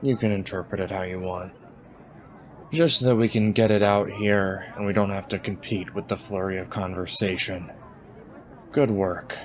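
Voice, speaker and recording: A man voicing a cool, self-assured male operative in a cyberpunk tabletop game, calm and understated. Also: low-pitched, moderate pace, close to an everyday voice. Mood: dry.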